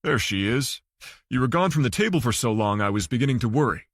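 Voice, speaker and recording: A man's voice: medium pitch, lively intonation, fairly quick, dry recording, studio quality, natural voice. A man voicing a sociable, casual island-born man in an audiobook. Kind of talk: audiobook character dialogue.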